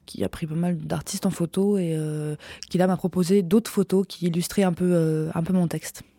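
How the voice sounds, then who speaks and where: A woman's voice: lowish voice, steady tone, moderate pace, warm tone, no room sound. A woman with a French accent talking casually in a radio studio interview, hesitant and full of fillers and restarts. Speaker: singer-songwriter guest. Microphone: studio microphone.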